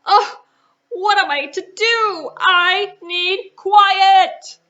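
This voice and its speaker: Woman playing an exasperated, whiny, high-pitched farmer. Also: pushed and bright, muffled recording, exaggerated voice. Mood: exasperated pleading. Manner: pleading, flustered, drawn-out complaints. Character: exasperated, whiny male farmer desperate for quiet.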